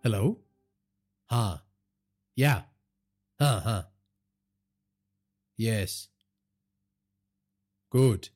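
Man playing calm, dutiful son, husky voice. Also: on the low side, faint music behind, heavily acted. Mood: businesslike. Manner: measured, deferential, reassuring. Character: dutiful, calm adult son of crime boss. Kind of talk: audiobook character dialogue.

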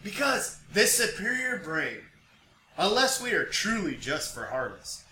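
Bright-voiced American man in an echoey room. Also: high-pitched, sing-song, a little slow. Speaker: podcast co-host. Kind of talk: casual conversational podcast chat. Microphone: picked up by another's mic about five feet away. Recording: distant, roomy pickup with background noise.

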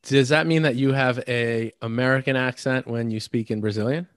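A man hosting a podcast, with upbeat, energetic intros and curious questions for his guest. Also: medium pitch, moderate pace, smooth, no echo, studio quality. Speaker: podcast host. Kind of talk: casual interview chat.

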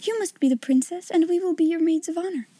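An imaginative young girl, voiced by a woman reader, talks in a high, mellow voice. Her manner is dreamy and grandly polite, like a storybook child playing princess. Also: fast. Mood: gracious.

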